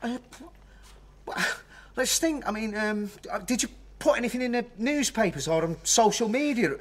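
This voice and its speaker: An actor playing an evasive, controlling estranged husband in a modern radio drama, hesitant and pleading, then sharply ordering. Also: male voice, very high-pitched, expressive, moderate pace.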